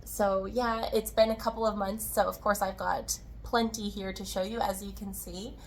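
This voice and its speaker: A woman hosts a casual craft vlog in a friendly, chatty manner. Her mid-pitched voice sounds a little hoarse and worn, as if getting over being sick. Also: little pitch movement, normal speed, slight background noise. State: slightly hoarse, tired-sounding from recovering from illness. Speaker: podcast host, yarn dyer and shop owner. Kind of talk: casual crafting video chat.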